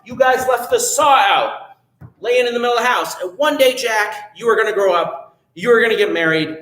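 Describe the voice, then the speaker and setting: A man's voice: animated, fairly quick, a bit bright, roomy sound, poor audio. A man voicing a silly, weepy mother who frets in a raised, wailing voice for a storybook tale. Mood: distraught. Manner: wailing, worried, raised pitch.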